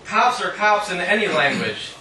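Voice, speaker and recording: A man's voice: sits high, sing-song, moderate pace, bright, forceful tone. Echoey, wry man reading poetry live. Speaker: featured poet at a reading. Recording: roomy, distant mic, faint noise.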